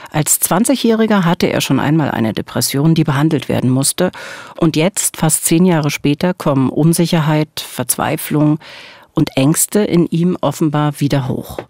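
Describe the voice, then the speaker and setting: A woman's voice: sits low, a little mellow, no room sound. A woman co-hosting a true-crime podcast, telling the story at a close studio microphone. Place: small radio studio.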